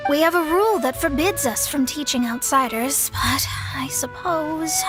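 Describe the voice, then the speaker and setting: A woman voicing a proud, haughty young female astrologist in a video game, speaking in a pompous, formal, theatrical way. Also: sits high.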